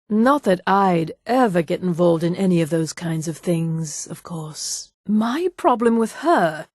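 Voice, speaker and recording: An actress voicing a vain, scheming female high elf for a fantasy video game, with a haughty, poised, condescending manner. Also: darkish tone, dry recording, studio quality. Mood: defensive, haughty. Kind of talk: scripted video game character dialogue.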